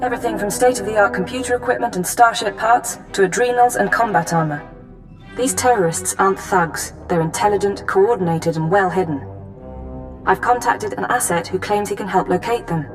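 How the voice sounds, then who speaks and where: A woman's voice: medium pitch, moderate pace, soft background music, natural voice. Woman playing a cool intelligence handler. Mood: brisk, businesslike. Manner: crisp, formal briefing. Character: cool, efficient female imperial intelligence handler. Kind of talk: video game voice acting.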